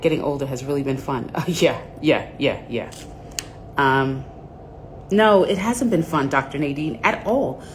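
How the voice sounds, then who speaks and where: A low-pitched woman in her forties hosts a live stream with a nasal voice. She chats in a loose, rambling way and greets viewers one by one. A faint ambient hum sits in the background. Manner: chatty, greets viewers by name, rambling asides. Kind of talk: casual live-stream chat.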